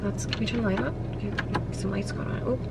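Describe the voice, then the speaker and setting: A woman's voice: mid-range voice, steady tone, fast talker, very noisy. Chatty young woman vlogging from her phone. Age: 20s. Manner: chatty, rambling, says "like" often. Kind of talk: casual vlog chat. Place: car, kitchen and apartment. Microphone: handheld phone camera at arm's length.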